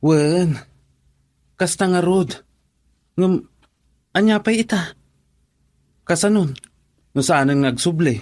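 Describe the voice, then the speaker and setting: Slow-talking, higher-voiced blunt buddy. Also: male voice, a little mellow. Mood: frank, nudging. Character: frank male buddy giving blunt advice. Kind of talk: Ilocano radio drama acting.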